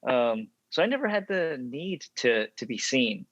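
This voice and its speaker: A young adult man from Texas, an actor and podcast guest, telling stories in a relaxed interview, reflective and full of ums and restarts. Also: mid-range voice, measured pace, no echo. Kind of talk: casual interview storytelling. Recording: dry, slightly dull sound.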